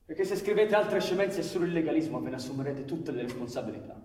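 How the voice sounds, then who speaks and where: An actor playing a stubborn, defiant anarchist in a radio drama, blunt and confrontational. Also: male voice, on the high side, lively intonation, fast talker, darkish tone, lightly acted. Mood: angry, scornful. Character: hot-headed, stubborn, defiant anarchist comrade. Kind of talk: radio theatre acting. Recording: echoey reverberant room, background noise.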